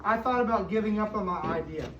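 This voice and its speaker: An American woman reads a picture book aloud to young children, pausing to explain and ask questions, with emphatic stretched words. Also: medium pitch. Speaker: school superintendent reading aloud to children. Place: indoor room with children present. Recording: distant, echoey, muffled room recording with noise.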